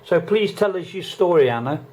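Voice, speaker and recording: A man narrating solemnly in a measured, grave way and then interviewing a guest. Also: high voice, expressive, darkish tone, echoey room, rough recording. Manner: grave, measured delivery with rhetorical questions.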